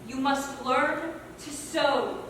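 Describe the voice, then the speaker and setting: A woman's voice: high-pitched, fairly even intonation, extremely slow, a bit bright, full character voice. A woman on stage playing a strict sewing teacher at a boarding school, firmly instructing and correcting her pupils. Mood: stern. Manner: firm, instructive. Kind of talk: stage play acting. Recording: echoey hall, distant mic, noisy.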